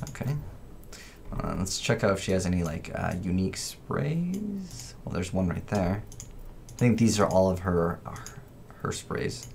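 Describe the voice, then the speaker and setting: A man gives casual gameplay commentary in a fairly low voice. His delivery is informal and a bit rambling, as he thinks aloud for his viewers. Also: big pitch swings, measured pace, vocal fry, pauses often, darkish tone, slight background noise. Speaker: gaming video host.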